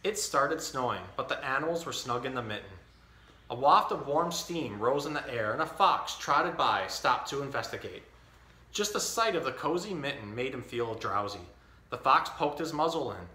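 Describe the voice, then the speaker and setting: A man in his thirties hosting a storytime, reading a children's picture book aloud with expressive, clearly enunciated delivery. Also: medium pitch, normal speed. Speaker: storytime host. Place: indoor tiled-floor room. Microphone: camera a few feet away. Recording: echoey room.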